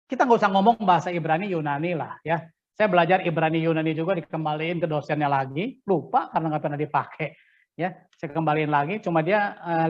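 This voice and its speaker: A man with an Indonesian accent lecturing as a preacher in a conversational, animated teaching manner, often asking rhetorical questions. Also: high-pitched. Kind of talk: interactive sermon-preparation lecture. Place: online class over video call. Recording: dull lo-fi online call audio.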